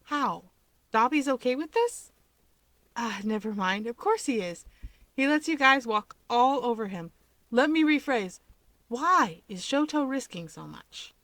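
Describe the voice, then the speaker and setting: A woman's voice: a little high, lively intonation, measured pace, a bit bright, no room sound, voice pushed up. A woman voicing an exasperated, anxious young male superhero who questions everything in an incredulous, sardonic way.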